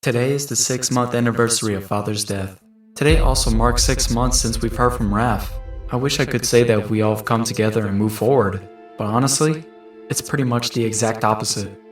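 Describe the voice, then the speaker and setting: A man voices a thoughtful teenage mutant turtle from a comic, musing in an earnest, lonely monologue. His mid-pitched voice sounds echoey and set back from the mic, with music clearly playing behind. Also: fairly quick, close to an everyday voice. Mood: wistful. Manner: earnest, reflective. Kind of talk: introspective scripted monologue. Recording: roomy, distant mic under loud music.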